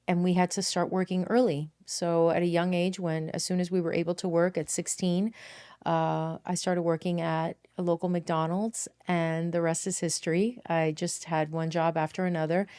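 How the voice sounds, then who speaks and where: A woman in her fifties talks through her own story as a podcast host, her voice low and smooth. She speaks earnestly and reflectively, often pausing on fillers and correcting herself mid-sentence. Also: flat delivery, dark tone. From Miami, Florida, United States. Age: 50s. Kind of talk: personal storytelling monologue.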